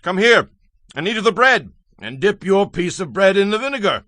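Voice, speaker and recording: A generous, authoritative landowner speaks in a bright voice with very lively swings of pitch. He is protective and commanding, in the manner of a Bible audio drama. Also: moderate pace, dry recording, clean studio sound, heavily acted. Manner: authoritative, expressive.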